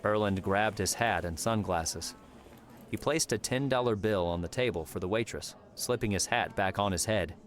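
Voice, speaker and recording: A man's voice: lowish voice, moderate pace. A man reading scripted story narration as an audiobook narrator.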